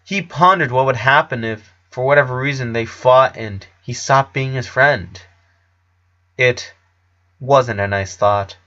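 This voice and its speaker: Bright-voiced man narrating a story. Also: mid-range voice, unhurried, lo-fi sound. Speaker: narrator of a fan fiction story.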